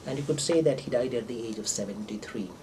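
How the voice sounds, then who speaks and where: An older man with an Indian accent and Urdu as his first language, giving an informal literary lecture in deliberate phrases with hesitations and repetitions. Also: mid-range voice. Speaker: English professor, visiting scholar. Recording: distant microphone with clear background noise.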